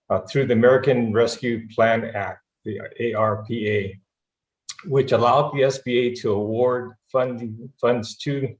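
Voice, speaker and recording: A man who is a government branch office manager explaining a funding program, halting with frequent restarts. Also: medium pitch, reverberant, rough recording. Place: video call.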